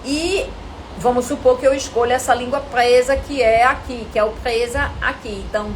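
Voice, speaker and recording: A woman with a Brazilian Portuguese accent coaching actors in an informal live lesson, animated and didactic, often asking questions and answering them herself. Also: on the high side, moderate pace, slight background noise, poor audio. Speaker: acting coach teaching a live online class.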